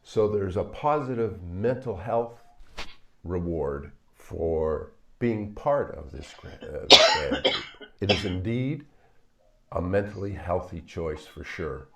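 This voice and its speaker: An older man, a psychologist, talking to the camera from a home office in a measured, halting way with frequent fillers. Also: deep voice, expressive, fairly bright tone. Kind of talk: talking to camera in a video commentary.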